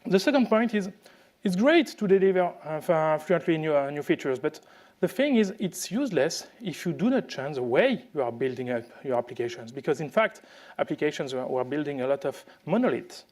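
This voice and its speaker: A man from France with a French accent, giving a conference presentation in a halting way with many fillers and restarts. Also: a little high, expressive, darkish tone. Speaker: conference speaker.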